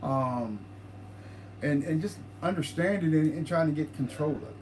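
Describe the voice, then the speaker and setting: A man co-hosting a paranormal livestream, talking casually, halting and repeating words as he explains his ideas. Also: fairly high pitch, a little hiss or hum, poor audio.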